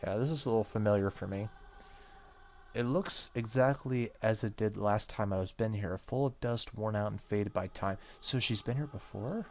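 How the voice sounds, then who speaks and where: A man's voice: fairly low pitch, normal speed, darkish tone, a little hiss or hum. A man hosting a gaming video, reading the story aloud and dropping in casual reactions.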